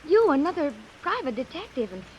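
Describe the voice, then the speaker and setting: A woman's voice: high voice, sing-song, brisk, a little mellow, highs cut off. An American actress playing a naive, chatty, devoted detective's secretary in a 1940s radio drama, girlish and fluttery. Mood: timid, concerned. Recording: hissy vintage 1940s radio recording.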